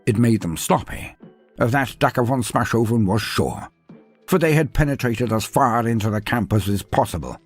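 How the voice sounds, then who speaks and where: A man's voice: medium pitch, slightly rough. A man narrating a scripted fantasy story in a theatrical, florid and whimsical way. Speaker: narrator of fantasy lore stories.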